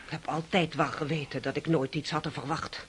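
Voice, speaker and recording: A woman's voice: sits low, steady tone, a little fast, a little hiss or hum, lightly acted. An actress playing an aggrieved, pious, self-pitying unmarried noblewoman in a radio drama. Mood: bitter, martyred. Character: aggrieved, pious unmarried niece of a dying count.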